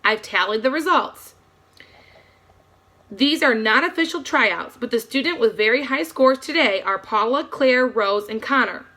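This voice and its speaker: A woman voicing a stern male schoolteacher in a storybook, formal and deliberate. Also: a little high, expressive, pushed and bright, full character voice. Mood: announcing.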